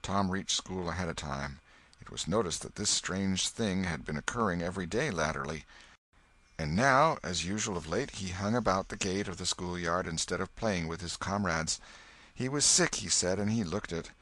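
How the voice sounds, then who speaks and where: Expressive low-voiced male storyteller. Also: moderate pace. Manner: expressive, varied storytelling delivery. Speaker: narrator. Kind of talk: storybook reading.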